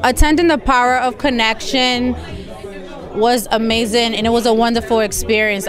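A young woman from the Dominican Republic, a fitness trainer, speaking enthusiastically into a handheld mic at an event. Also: fairly high pitch. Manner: upbeat, enthusiastic praise. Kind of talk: event interview testimonial. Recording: event crowd and music behind.

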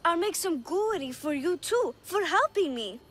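A woman's voice: very high-pitched, sing-song, moderate pace, a bit bright, exaggerated voice. A woman voicing a sweet, earnest, shy little girl in a video game, with a childlike way of muddling words. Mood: hopeful, grateful. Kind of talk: video game character dialogue.

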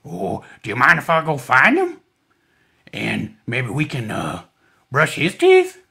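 Man acting friendly crocodile puppet, gravelly, bright, simple hesitant folksy talk, children's show. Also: mid-range voice, huge pitch swings, full character voice. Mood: eager, polite. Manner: hesitant, simple, amiable, drawn-out words.

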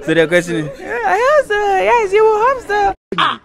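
A man's voice: extremely high pitch, wildly swooping pitch, normal speed, creaky, a crowd in the background. Playful Ghanaian man with a handheld mic. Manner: teasing, laughs a lot, Pidgin asides. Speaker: street interviewer host. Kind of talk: playful campus quiz interview. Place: outdoor rooftop terrace at night.

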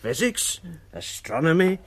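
An actor playing a pompous, dismissive wealthy benefactor in a radio drama. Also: male voice, fairly high pitch, big pitch swings, unhurried, a little hiss or hum. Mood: scornful. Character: Lord Goldhooper, blustering rich male benefactor.

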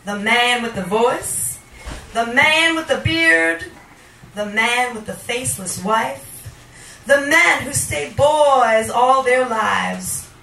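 High, bright-voiced woman reading poetry slowly. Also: lively intonation, creaky. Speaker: poet reading at a poetry night. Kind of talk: live spoken-word poetry reading with casual asides. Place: small wood-panelled indoor bar venue. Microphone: handheld stage microphone, picked up from a camera. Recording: roomy, distant live-venue recording with faint noise.

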